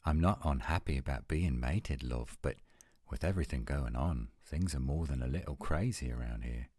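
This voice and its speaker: A man playing an adult male werewolf lover in a romantic roleplay audio, speaking in an intimate, measured, coaxing and reassuring way. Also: rumbling low, darkish tone, no echo, clean studio sound. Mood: earnest. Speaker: voice actor.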